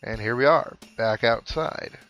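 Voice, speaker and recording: A man's voice: medium pitch, a little slow, fairly bright tone, lo-fi sound. A man giving casual commentary over a video game playthrough, thinking aloud with mild exclamations. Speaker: gaming video host. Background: video game music.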